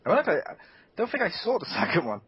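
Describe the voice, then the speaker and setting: A man co-hosting a casual podcast about video games, talking in an animated way with frequent laughs and quick restarts. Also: high-pitched, husky, highs cut off. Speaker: podcast co-host. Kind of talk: casual gaming chat.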